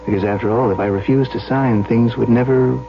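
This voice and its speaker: A male actor portrays a conflicted public official in an old radio drama. He has a mid-range voice and a reflective, uneasy manner. The sound is muffled, with music clearly behind it. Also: medium pitch, fairly even intonation, fairly quick, close to an everyday voice. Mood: troubled, reflective. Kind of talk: radio drama acting, first-person narration. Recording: dull old radio recording.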